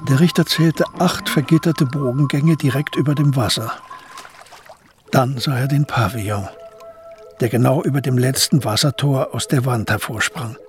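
A male narrator tells a story for a radio play at a medium pitch. His delivery is measured and descriptive, with faint music underneath. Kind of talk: scripted literary narration for radio drama.

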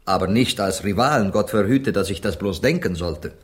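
A man plays a courteous, inquisitive Spanish gentleman in a period radio drama. His low voice moves in lively swings through ornate, polite phrases, with Spanish words slipped in. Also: normal speed, lightly acted. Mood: courteous, musing.

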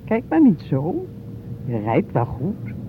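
A man's voice: huge pitch swings, takes it slowly, full character voice. A male actor playing a fussy, anxious older spouse in a naturalistic radio drama, coaxing as they talk. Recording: tinny, noisy recording with vehicle rumble.

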